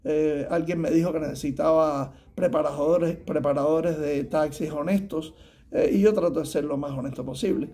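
A man in his fifties with a Cuban Spanish accent, a tax preparer giving halting advice to the camera from a small office, with many fillers and restarts. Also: on the high side, fairly even intonation, dark tone. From Cuba. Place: small office room indoors.

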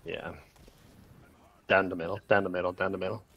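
A man leading a raid group over voice chat, calling short commands in rapid repeated bursts with countdowns. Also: sits low, flat delivery, slightly rough, dull audio.

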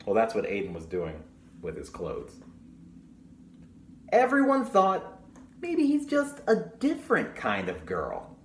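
A young man reading a picture book aloud to children, pausing to explain things simply and ask questions. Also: fairly low pitch, huge pitch swings. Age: young adult. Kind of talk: storytime read-aloud with explanatory asides. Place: small room at home, indoors. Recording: roomy echo with clear background noise.

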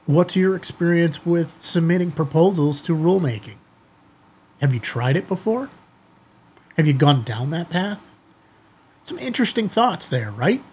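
Husky middle-aged American man, chatty. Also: a little high, animated, unhurried, long pauses, a little mellow. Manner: informal, folksy, addresses viewers directly. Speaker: amateur radio video host. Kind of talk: casual chatty commentary to viewers. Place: small indoor studio office, seated at desk.

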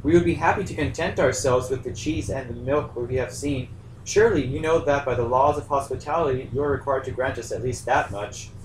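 A man's voice: mid-range voice, lightly acted. Man as a courteous captain, echoey and distant. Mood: courteous pleading. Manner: measured, courteous, reasoning. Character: brave, diplomatic sea captain rabbit, adult male. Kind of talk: amateur puppet story dialogue. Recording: echoey room, far mic, faint noise.

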